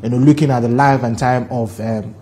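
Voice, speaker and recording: A man's voice: mid-range voice, steady tone, a little fast, darkish tone. A man with a Nigerian accent co-hosting, putting questions in a casual interview style. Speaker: TV show co-host.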